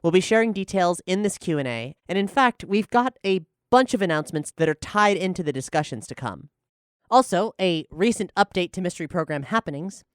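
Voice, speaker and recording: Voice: medium pitch, clean tone, dry recording, clean studio sound. An enthusiastic, articulate podcast host talking conversationally through a question-and-answer show. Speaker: showrunner and host.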